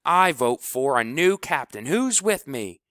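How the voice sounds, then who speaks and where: A man voicing an angry boy bully who shouts accusations in rough, heated speech. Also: on the low side, expressive, a little fast, no echo, clean studio sound. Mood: angry, accusing.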